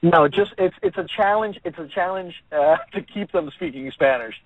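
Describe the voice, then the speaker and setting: An upbeat, energetic American man speaking conversationally as a guest in a radio interview. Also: sits high, normal speed, dry recording, narrow, tinny audio. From Miami, United States. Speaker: entrepreneur and author, show guest.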